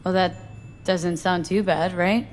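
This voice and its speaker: A woman's voice: on the low side, steady tone, measured pace, soft background music, close to an everyday voice. A woman playing a weary, wry, street-tough mercenary in a video game, talking in a dry, casual, clipped way. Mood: wry, uneasy.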